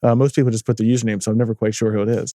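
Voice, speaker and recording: A man's voice: on the low side, level tone, very fast, mellow, no room sound, studio quality. A man co-hosting a sales podcast, upbeat as he reads reviews and guest introductions. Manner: upbeat, reads promos and bios. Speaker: podcast co-host.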